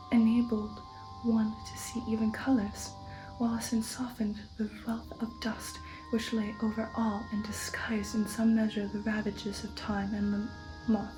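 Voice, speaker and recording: A woman giving an ASMR reading of a gothic novel in a soft, breathy whisper, slow, hushed and measured, close to the microphone. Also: fairly high pitch, poor audio. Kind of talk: ASMR audiobook narration.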